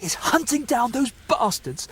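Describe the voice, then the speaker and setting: Medieval game rogue, young man: animated, husky delivery, shifty, blustering and colloquial, quick to defend himself. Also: very high-pitched, exaggerated voice. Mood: defensive, indignant. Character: shifty, jittery young male rogue archer in medieval Bohemia. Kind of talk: video game dialogue acting.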